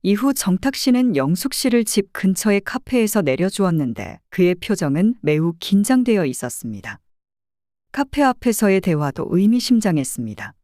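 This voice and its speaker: A woman narrating an audio drama as a private detective, in an expressive storytelling manner. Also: mid-range voice, normal speed, no room sound, clean studio sound.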